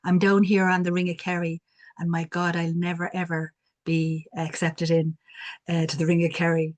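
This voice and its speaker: A woman with a strong Cork accent talks on a video call, her pitch low-set and held fairly flat. She tells her stories in a reflective way, pausing now and then. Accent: strong Cork Irish. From Cork, Ireland. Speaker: community development worker. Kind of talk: online panel talk.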